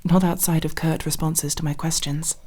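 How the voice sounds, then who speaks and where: A woman playing a nervous, naive young elf rogue in an ASMR fantasy roleplay, speaking softly with formal, hesitant, precise wording. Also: low-pitched, level tone, moderate pace. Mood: shy, wistful. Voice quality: soft, breathy, often dropping to whisper.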